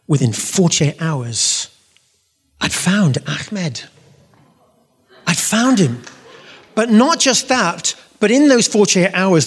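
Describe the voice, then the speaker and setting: A man giving an animated faith testimony to an audience, telling his story with bursts of loud emphasis. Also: a little high, slightly rough. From half Syrian. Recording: long reverb of a hall.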